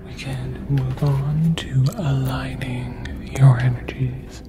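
Whispering, very low alien psychic played by a woman. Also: flat delivery, loud music behind the voice. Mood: soothing, courteous. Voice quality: whispery, breathy. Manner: slow, soothing, formal. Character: calm, courteous alien psychic hotline healer. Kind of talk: ASMR roleplay.

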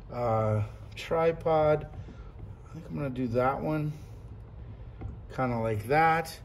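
A middle-aged man walks through a product demo in a relaxed, chatty way, narrating each step as he goes. He repeats himself often and talks like he is showing a friend. His voice sits at a middle pitch, with a faint hum of room noise behind him in a classroom. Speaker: product reviewer. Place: classroom at a table.

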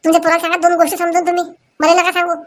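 High-pitched quarrelsome Marathi village woman played by an actress. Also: monotone, racing, a little hiss or hum. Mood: agitated, urgent. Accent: Marathi, Vidarbha dialect. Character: excitable, quarrelsome village women in a family comedy. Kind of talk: cartoon comedy dialogue.

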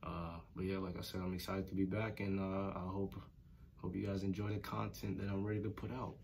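Low-voiced young man vlogging casually. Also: little pitch movement, a little fast, creaky, darkish tone, a little hiss or hum. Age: young adult. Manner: laid-back, slangy, addresses viewers as y'all. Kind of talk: casual vlog chat to camera. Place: small bedroom indoors.

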